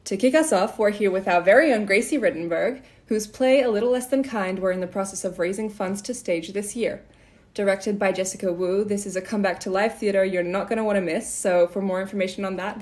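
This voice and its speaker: A woman hosts an interview podcast. Her voice sits at an average pitch for a woman. She moves between prepared introduction and casual conversation. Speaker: podcast host. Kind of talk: scripted introduction, then casual interview chat.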